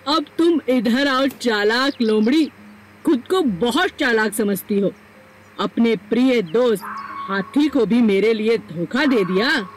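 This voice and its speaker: A woman voicing a hungry lion in an animated story, menacing, commanding and gloating. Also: a little high, slow, slight background noise, poor audio.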